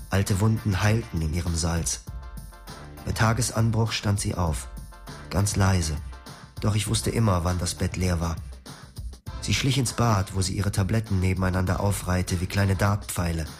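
A man narrating his own story in a radio drama in a quiet, reflective way. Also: deep voice. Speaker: first-person narrator.

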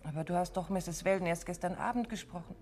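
An actress playing a detective's quick, inquisitive wife who banters and needles her husband, in a 1950s radio play. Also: fairly low pitch, darkish tone, lightly acted. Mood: coaxing.

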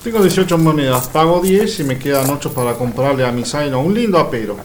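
Storybook radio drama: sly country hustler, male actor, slightly high-pitched, patient and deliberate. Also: animated, slight background noise, close to an everyday voice. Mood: content. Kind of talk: Spanish-language storybook radio play.

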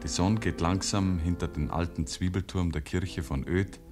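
An actor as a homely, wry village storyteller, narrating a 1950s radio play with a Bavarian accent. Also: male voice, deep voice, steady tone, moderate pace, music in the background. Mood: unhurried, descriptive.

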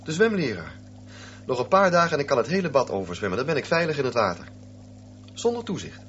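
A man's voice: medium pitch, big pitch swings, quick pace, a bit bright, dull audio, slight background noise. An actor in a Dutch radio drama playing an earnest, dreamy and insistent sixteen-year-old boy. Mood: defiant, eager.

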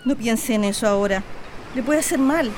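A woman's voice: on the high side, moderate pace, over loud music, lightly acted. A woman playing a caring, anxious mother in a radio drama. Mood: concerned.

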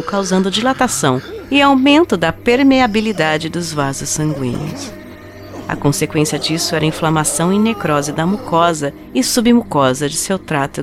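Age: adult. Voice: low-pitched obsessive woman scientist, acted by a woman, over music. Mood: cold, clinical. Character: obsessive, coldly rational woman scientist confessing to murder. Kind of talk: dramatized first-person audio story narration.

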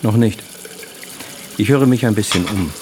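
An actor playing a discreet, probing investigator in a conversational radio drama scene. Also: male voice, fairly low pitch, unhurried, lightly acted. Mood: probing, casual. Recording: noisy kitchen with running water. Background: water and clicks.

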